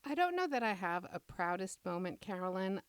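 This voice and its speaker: A middle-aged woman being interviewed as a playwright, talking reflectively with measured, deliberate phrasing. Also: mid-range voice, big pitch swings, smooth, no room sound.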